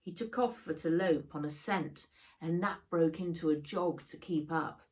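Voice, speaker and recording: A woman reading a children's adventure book aloud in expressive narration, as an author reading her own work. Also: sits low, normal speed.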